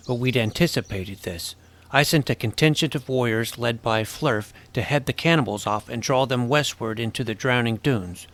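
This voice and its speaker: A man's voice: medium pitch, a bit bright, natural voice. A man playing a plucky boy hero, telling his own fantasy adventure in scripted first-person narration and dialogue. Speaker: narrator and lead character.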